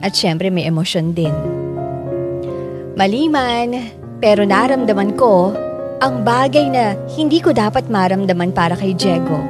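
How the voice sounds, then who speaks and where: A woman voices a conflicted, lovestruck teacher narrating her confession in a radio drama. Her mid-pitched voice is reflective, with music clearly underneath. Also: lightly acted. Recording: music behind the voice.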